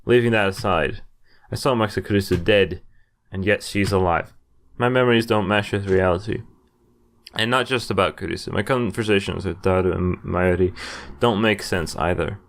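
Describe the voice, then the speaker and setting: Low-voiced young man playing through a game. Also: moderate pace. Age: young adult. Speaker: let's player. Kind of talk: gaming playthrough, reading game text aloud with casual reactions. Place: indoors at a computer, webcam view. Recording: game music behind the voice. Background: game music.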